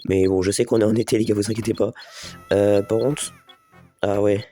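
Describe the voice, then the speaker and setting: A man with a slightly stuffy voice from a cold talks his way through a video game, speaking straight to his viewers and thinking aloud. His voice sits low and warm. Faint music plays behind him. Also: fairly even intonation, moderate pace. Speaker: gaming video host. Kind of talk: casual let's play commentary.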